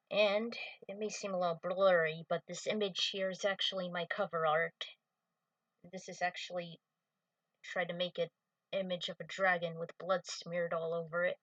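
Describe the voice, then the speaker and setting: Bright-voiced woman, distant from the mic. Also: medium pitch, dry recording. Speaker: fan fiction writer introducing own work. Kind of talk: casual video introduction.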